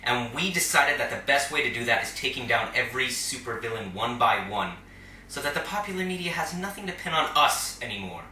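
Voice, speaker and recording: A man playing a smug, theatrical superhero group leader in an audio drama, taunting with grand, drawn-out delivery. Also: medium pitch, lively intonation, bright, forceful tone, slight background noise. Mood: grandiose, taunting. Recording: roomy, slightly distant microphone.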